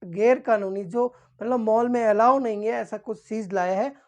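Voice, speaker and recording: A woman's voice: on the high side, normal speed, a little mellow. A woman instructor giving an informal explanatory lesson, repeating key points and answering her own questions.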